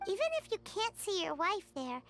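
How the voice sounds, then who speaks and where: A woman voicing a small, cheerful, outspoken childlike companion in a video game, chirpy and expressive. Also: very high voice, normal speed, full character voice. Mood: encouraging. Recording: music playing behind the voice.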